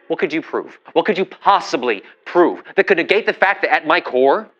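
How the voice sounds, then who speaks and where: A man's voice: fairly high pitch, expressive, normal speed, fairly bright tone, lo-fi sound. An actor playing a principled, indignant man challenging a powerful figure in a 1950s-set audio drama, articulate and emphatic through a telephone filter.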